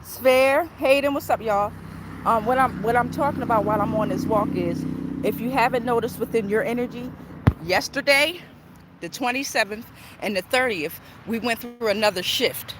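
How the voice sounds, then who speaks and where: A woman's voice: on the high side, moderate pace. Woman livestreaming casually outdoors, some street noise. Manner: informal, repeats phrases, checks in with okay and all right. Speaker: livestream host and spiritual tarot reader. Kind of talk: casual livestream chat to followers. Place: outdoors on a walk near traffic.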